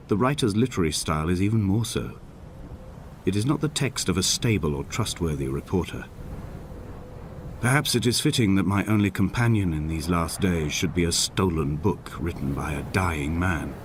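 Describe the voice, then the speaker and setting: An actor playing a solitary, melancholy middle-aged man, narrating in a measured, solemn, literary style for a game. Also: sits low, background noise. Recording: music behind the narration. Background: clear background music.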